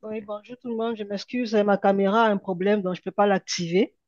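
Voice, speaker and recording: A woman introducing herself in an online meeting, halting and repeating words. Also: mid-range voice, steady tone, darkish tone, no room sound. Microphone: computer mic on video call. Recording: dull video-call audio.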